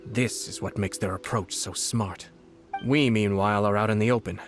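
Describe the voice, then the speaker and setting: An actor playing a calm, refined, calculating young nobleman in a video game, speaking in a measured, formal way. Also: on the low side, close to an everyday voice. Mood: composed, grave. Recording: music behind the voice.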